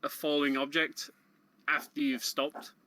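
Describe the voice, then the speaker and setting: A man's voice: fairly high pitch, level tone, measured pace, noisy background. A man giving casual, explanatory live commentary on a video game, speaking a little hesitantly as he goes. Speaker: speedrun commentator. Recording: loud music behind the voice. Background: video game music.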